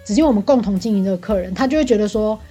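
一位年輕的台灣女性，台灣腔中文，像在播客裡閒聊抱怨，講話直白又愛感嘆。. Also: female voice, medium pitch, very fast, dark tone, slightly rough, faint music behind. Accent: Taiwanese Mandarin. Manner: talkative, exclamatory, bluntly complaining. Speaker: podcast co-host venting about work. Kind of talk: casual chat.

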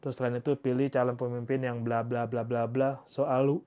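A man's voice: medium pitch, little pitch movement, a little fast, highs cut off. Stuffy-nosed man chatting casually. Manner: casual slangy, reads tweets aloud. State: congested, stuffy nose. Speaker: podcast host. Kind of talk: casual solo podcast talk.

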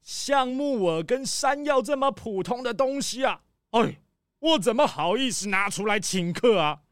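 An actor playing a pompous, gluttonous rich male crocodile boss in a children's audio drama, showy, with drawn-out exclamations and sighs. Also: very high-pitched, animated, clear, resonant tone, no room sound, studio quality. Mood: pompous, boastful.

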